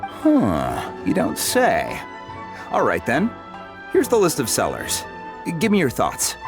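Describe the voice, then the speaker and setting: An actor playing a genial, gruff merchant in a video game, talking in a wry, businesslike way. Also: male voice, medium pitch, huge pitch swings, slow pace, full character voice. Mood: businesslike, obliging. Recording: game music behind the voice. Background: game music.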